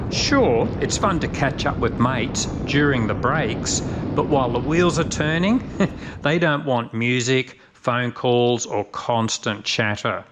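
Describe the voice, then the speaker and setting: A man's voice: mid-range voice, noisy background. A middle-aged man narrating a scripted motorbike channel review in an informal, matter-of-fact way. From Australia.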